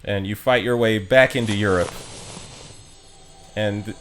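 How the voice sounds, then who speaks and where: A man's voice: on the low side, fairly even intonation, measured pace, noisy background. A man streaming a video game, giving casual, offhand commentary that loosely explains what is going on. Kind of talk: casual let's play commentary. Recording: voice over game music. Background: game music.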